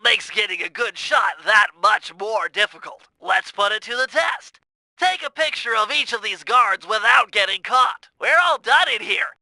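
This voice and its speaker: A man's voice: very high-pitched, vocal fry, bright, forceful tone, no room sound, exaggerated voice. A man voicing a brainy, fussy turtle mission planner in a video game, nasal and precise, explaining things in wordy technical terms. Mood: businesslike, focused. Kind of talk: video game tutorial voice acting.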